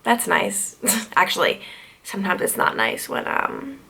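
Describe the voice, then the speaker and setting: A woman in her twenties from Long Island, New York, answering viewer questions in a casual vlog. She talks in a chatty way, full of "like", and her pitch jumps high when she gets excited. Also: unhurried, gravelly. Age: 20s. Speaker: TV news reporter and vlogger.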